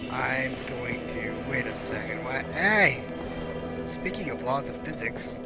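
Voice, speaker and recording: A man's voice: mid-range voice, expressive, measured pace. A man giving casual, self-deprecating commentary as he plays a video game, often muttering wryly to himself. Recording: loud game soundtrack behind voice. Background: video game music and sounds.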